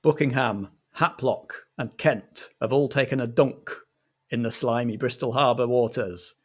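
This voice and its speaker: A man narrating a roleplaying game as game master in a measured, deliberate storytelling manner. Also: mid-range voice.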